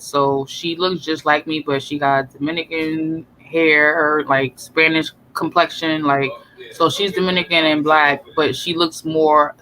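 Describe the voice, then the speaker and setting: A man's voice: fairly high pitch, bright, forceful tone, low-quality recording. A man in a casual chat, recalling things hesitantly with many fillers.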